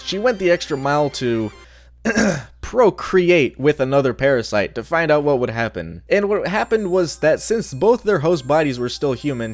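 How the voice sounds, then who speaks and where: A young adult man reading a scripted review in a casual, opinionated, sardonic way. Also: fairly high pitch. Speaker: video reviewer.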